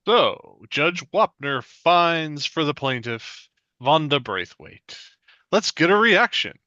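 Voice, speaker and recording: A man playing a courteous, measured TV court show reporter in a live comedy table read. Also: a little high, very animated, sharp tone, dry recording, highs cut off, exaggerated voice. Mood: polished. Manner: measured, presenterly.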